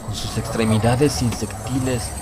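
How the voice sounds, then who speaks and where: A man's voice: on the low side, fairly even intonation, a little slow, background noise. A man reading a horror audiobook as a dramatic, grave, suspenseful first-person narration. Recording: loud background music under the voice. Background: loud music.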